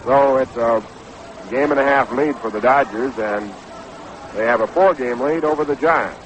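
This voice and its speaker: A male American baseball announcer gives measured, descriptive play-by-play at a middling pitch. It is an old 1960s radio broadcast from a ballpark, with faint noise and outdoor ambience around the voice. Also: low-quality recording. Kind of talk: live radio sports commentary.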